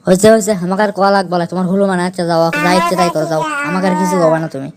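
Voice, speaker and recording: A woman's voice: on the low side, fairly even intonation, racing, noisy background, lightly acted. A woman voicing an exasperated, defensive village mother with a Bogura accent in a comic amateur cartoon dub. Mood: fed up. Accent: Bogura, northern Bangladesh. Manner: defensive, argumentative, complaining. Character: exasperated village mother bickering with her husband.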